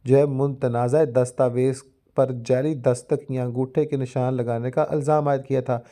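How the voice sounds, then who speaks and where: A man's voice: mid-range voice, level tone, normal speed, dark tone. A man with a Pakistani accent explaining material like a lecturer, in formal phrasing sprinkled with English terms. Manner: formal Urdu with frequent English legal terms. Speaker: law education YouTuber. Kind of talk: explanatory lecture mixed with reading out a judgment.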